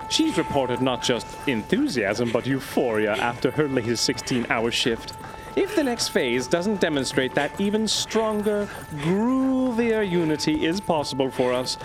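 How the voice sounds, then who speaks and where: An earnest, animated obsessive scientist. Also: male voice, a little high. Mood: urgent, pleading. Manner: formal, grandiose, dictated diction. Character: earnest, obsessive male scientist reading out his research notes. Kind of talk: audio drama monologue as journal narration.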